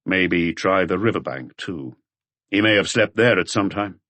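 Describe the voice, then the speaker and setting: Man voicing a low, brooding police detective. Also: expressive, unhurried, bright, forceful tone, no room sound, heavily acted. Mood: businesslike. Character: brooding, plain-spoken male police detective, middle-aged.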